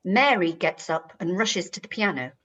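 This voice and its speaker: A woman's voice: on the low side, lively intonation, moderate pace, a bit bright, highs cut off. A woman narrating stage directions for a radio play in a scripted, present-tense style.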